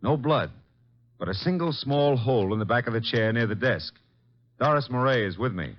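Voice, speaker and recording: An actor playing a streetwise, watchful detective in an old-time radio drama, talking casually in clipped lines on a dull recording. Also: male voice, mid-range voice, animated, moderate pace, lightly acted. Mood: brisk, reporting. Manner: casual, clipped, thinking aloud. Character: streetwise, watchful male private detective's legman.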